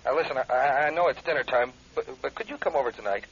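Husky young man on a telephone. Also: mid-range voice, quick pace. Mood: nervous, pleading. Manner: nervous, stammering. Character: spooked, busy young male photographer seeking help. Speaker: actor in radio drama. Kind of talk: radio drama acting. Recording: dull, harsh telephone line sound.

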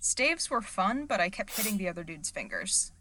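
A woman plays an eager young acting student in an audio drama. Her voice is bright and very animated, and she talks in a chatty, slightly awkward way with hesitant questions. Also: mid-range voice, normal speed, a little hiss or hum.

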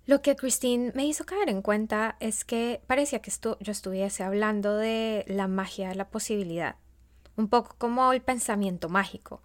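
A woman from Colombia with a Colombian accent, a leadership coach hosting a short podcast, reading a calm, reflective monologue that puts coaching questions to the listener. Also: medium pitch. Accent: Colombian Spanish.

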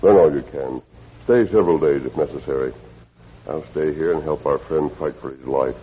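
An actor playing a steady, resolute masked lawman in a 1950s radio western, speaking with deliberate authority. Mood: grave, resolute. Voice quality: very deep voice. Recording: muffled old radio recording with hiss.

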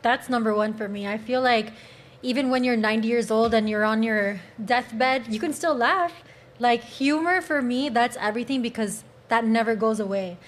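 A woman's voice: on the high side, moderate pace. A woman on a podcast panel speaking earnestly and emphatically. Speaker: panel guest. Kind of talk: casual panel chat.

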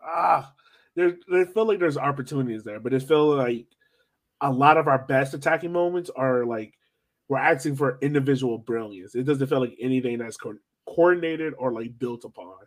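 Mid-pitched man in slangy soccer chat. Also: normal speed. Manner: informal, slangy, conversational. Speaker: soccer podcast co-host. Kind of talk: casual sports fan chat.

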